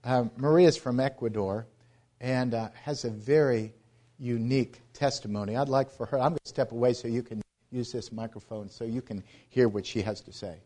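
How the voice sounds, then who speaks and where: A man's voice: mid-range voice, steady tone, dark tone. Earnest man giving a missions talk. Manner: earnest, halting with repeated restarts. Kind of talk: church missions presentation.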